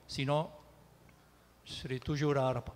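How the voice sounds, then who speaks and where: A man interpreting a sermon in a church, measured and sometimes hesitant. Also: medium pitch, steady tone, a little mellow, in a large hall. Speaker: pastor and interpreter. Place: church hall.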